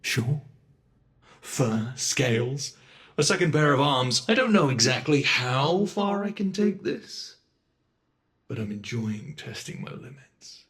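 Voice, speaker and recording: Casting: cruel monster, played by a man, unhurried, mocking delivery, medium voice, for an ASMR horror roleplay. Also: mid-range voice, big pitch swings, fairly bright tone, full character voice. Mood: playful, sadistic. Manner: slow, taunting, relishing cruelty. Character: sadistic male monster that reshapes its captive.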